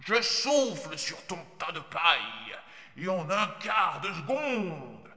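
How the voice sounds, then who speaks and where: Big bad storybook wolf, cruel n gloating, wild swoopy voice, drenched in reverb. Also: male voice, on the high side, big pitch swings, slow, bright, forceful tone, heavily acted. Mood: menacing, raging. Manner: menacing, roaring and gloating with laughter. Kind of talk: theatrical storybook villain acting.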